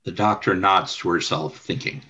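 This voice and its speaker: A man's voice: low-pitched, normal speed, bright, forceful tone, breathy edge, muffled recording. A man reading scripted scene narration for a radio play.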